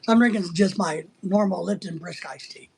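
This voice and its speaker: A livestream host from the United States with a husky voice, chatting casually and bluntly while teasing viewers and rambling through answers to their comments. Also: medium pitch, moderate pace, slight background noise. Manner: blunt, teasing, sometimes breaking into playful exclamations.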